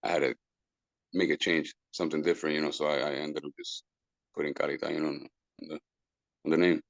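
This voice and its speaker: Man, forties, very low voice, dry close mic, restarts a lot. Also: flat delivery, no echo, dull audio. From Puerto Rico. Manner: halting, repeats words and restarts, slips into Spanish phrases. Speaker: musician guest. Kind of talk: casual interview talk.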